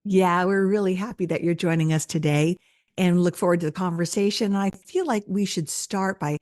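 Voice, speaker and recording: A woman's voice: lowish voice, normal speed, darkish tone, no echo, clean studio sound. A woman co-hosting a podcast, reading a scripted welcome and then asking her guest questions. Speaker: podcast co-host.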